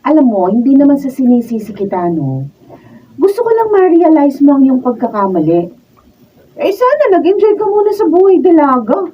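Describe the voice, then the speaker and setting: An actress playing a blunt, scolding young friend in a Filipino radio drama, preachy in manner. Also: female voice, high voice, very animated, normal speed. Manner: preachy, chiding. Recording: muffled, distant mic.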